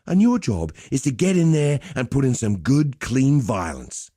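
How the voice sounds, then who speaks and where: A roguish gladiator master from a video game, easygoing and cocky. His mellow voice swings freely in pitch as he teases and goads with wry swagger. The recording is close and dry. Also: big pitch swings, measured pace, no room sound. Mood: cocky, teasing. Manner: wry, teasing, conversational swagger. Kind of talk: video game character dialogue.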